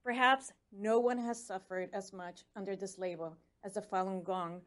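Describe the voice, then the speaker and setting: A woman's voice: medium pitch, fairly even intonation, normal speed. Spanish-accented woman giving an earnest speech. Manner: earnest, urging, stumbles over foreign names. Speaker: commissioner on religious freedom. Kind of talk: prepared public speech.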